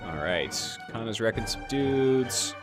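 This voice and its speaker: A man's voice: on the low side, expressive, takes it slowly. A man giving informal gameplay commentary as a let's player, with game music behind him. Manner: informal, slangy, thinking aloud. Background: video game music.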